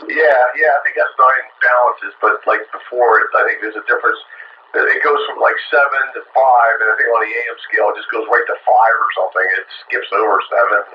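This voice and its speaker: A man talking casually and technically over a CB radio as an operator, halting and stammering over his words. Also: mid-range voice. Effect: CB radio transmission. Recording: muffled, noisy CB radio transmission.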